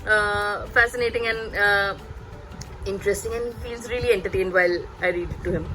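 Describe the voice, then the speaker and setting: A woman in her thirties, a native Bengali speaker, chatting hesitantly as a parenting vlogger, pausing and repeating words. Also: mid-range voice, fairly even intonation, noisy background. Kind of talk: casual chatty vlog recommending books.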